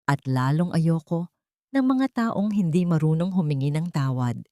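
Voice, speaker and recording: A cruel, wealthy woman boss from an audio story, acted out by a woman narrator. She speaks with cold contempt and gives orders as if nobody may refuse. The voice sits a little low and is closely, dryly recorded. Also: expressive, measured pace, dark tone, no echo. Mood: cold threatening. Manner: contemptuous, commanding. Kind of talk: dramatic Tagalog audio story dialogue.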